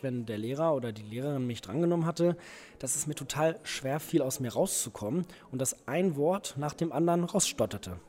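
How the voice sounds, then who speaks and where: A man's voice: mid-range voice, a little mellow. A young man, an event host and speaking coach, talking in an interview in an articulate, composed and reflective way. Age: young adult. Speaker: event host and rhetoric trainer.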